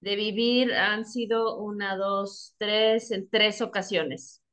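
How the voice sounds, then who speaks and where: Woman, middle-aged, average pitch, casual interview guest, playful short answers. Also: fairly even intonation, slow pace, a bit bright. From United States, Texas. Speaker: podcast guest, English teacher. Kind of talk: casual chat.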